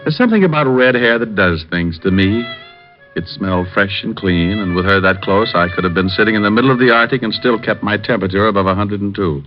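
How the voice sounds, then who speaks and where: An actor playing a cool, flirtatious private detective who also narrates in the first person, in a 1950s radio drama with a dry, wry delivery. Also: male voice, fairly low pitch, quick pace, natural voice. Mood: narrating. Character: wisecracking male private eye, cool and flirtatious. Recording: dull old radio broadcast with music.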